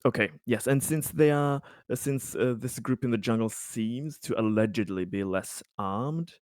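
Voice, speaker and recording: A man playing a tabletop roleplaying game, improvising his character's dialogue in a deliberate, halting and somewhat formal manner. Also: mid-range voice, a little mellow, no echo, studio quality.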